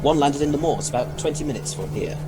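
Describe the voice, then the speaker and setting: A man playing a brisk, urbane scientist adventurer in an audio drama, with a gentlemanly, authoritative manner. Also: fairly high pitch, lively intonation, close to an everyday voice. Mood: calm, thoughtful. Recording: loud storm and engine noise.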